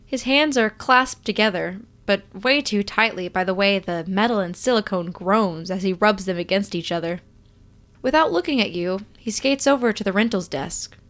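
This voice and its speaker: A woman reading a story aloud as expressive, scripted second-person narration. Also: medium pitch, normal speed. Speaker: podfic reader.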